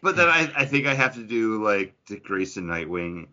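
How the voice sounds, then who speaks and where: A man's voice: on the low side, sing-song, moderate pace, bright, forceful tone, muffled recording. A man co-hosting a podcast in casual geek-culture chat, rambling and interrupting himself with enthusiasm. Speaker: podcast co-host.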